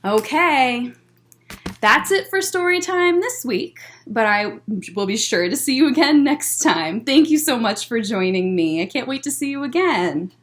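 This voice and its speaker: Woman, higher-pitched voice, very lively intonation, children's storytelling, expressive, deliberate phrasing, library story time host. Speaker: library story time host reading a picture book aloud. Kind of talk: children's read-aloud storytelling with short asides.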